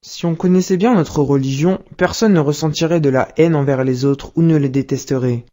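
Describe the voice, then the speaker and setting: A woman voicing a patient, pious father in an educational cartoon, speaking in a measured, instructive way. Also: sits low, mellow, natural voice. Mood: calm, instructive. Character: calm, wise, pious father advising his young son. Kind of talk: didactic cartoon dialogue.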